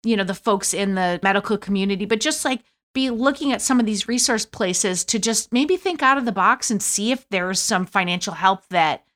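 A woman co-hosting a podcast, chatting casually and offering encouragement and advice in an animated way. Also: mid-range voice, moderate pace, hardly any pauses. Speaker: podcast co-host. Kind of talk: casual conversation.

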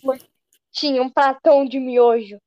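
High-voiced playful podcast host. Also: fairly even intonation, unhurried, darkish tone, no room sound. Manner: joking, playful. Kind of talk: casual chat. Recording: dry, dull lo-fi recording.

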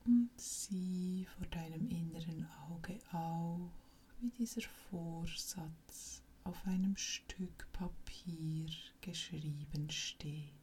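A woman with a low, mellow voice guides a relaxation exercise extremely slowly. Her delivery is soft, breathy and close to a whisper, with long pauses between short phrases. She sounds calm and soothing throughout. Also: slight background noise. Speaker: yoga nidra instructor.

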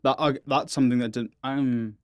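A young man from England chatting casually on a podcast, rambling and quick to laugh. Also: mid-range voice, fairly even intonation, no room sound, studio quality. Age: young adult. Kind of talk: casual chat.

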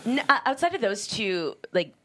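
A woman from Canada speaking as a screenwriter in a casual panel interview, thinking aloud in an informal, halting way. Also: on the high side, sing-song, sharp tone. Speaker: screenwriter, guest.

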